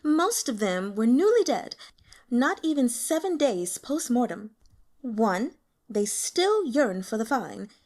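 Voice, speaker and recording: A woman voicing a flirtatious otherworldly female informant, sibilant with drawn-out endings, in an audiobook. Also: a little high, big pitch swings, a little mellow, voice pushed up, heavily acted. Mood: flirtatious, exasperated. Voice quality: sibilant, hissing drawn-out final syllables. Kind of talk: audiobook character acting.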